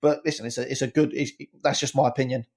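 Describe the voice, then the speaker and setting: A man giving his opinions on a casual football podcast, rambling quickly and restarting his sentences. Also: mid-range voice, steady tone, a little mellow. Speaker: podcast regular, head coach segment.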